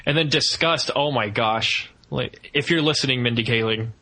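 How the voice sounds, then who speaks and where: A man with a bright, forward voice talks as a podcast co-host in a casual review chat. His delivery is enthusiastic and freely gushing. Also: medium pitch, moderate pace.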